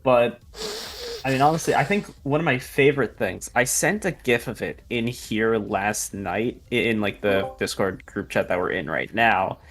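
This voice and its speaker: A young adult video editor chatting casually on a behind-the-scenes livestream, in a halting, rambling, informal way. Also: medium pitch. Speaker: video editor on a fan animation project. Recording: music behind the voice.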